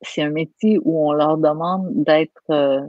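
A woman's voice: deep voice, steady tone, measured pace, dry recording. Québécois woman guest, earnest and emphatic, dry dull lo-fi line. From Quebec. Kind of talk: conversational interview.

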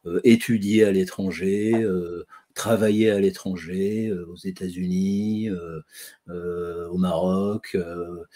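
Homme de cinquante ans, voix grave un peu voilée. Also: male voice, low-pitched, little pitch movement, mellow. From France. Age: 50s. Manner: many drawn-out "euh" fillers, slow and searching. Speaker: guest speaker. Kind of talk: hesitant conversational storytelling.

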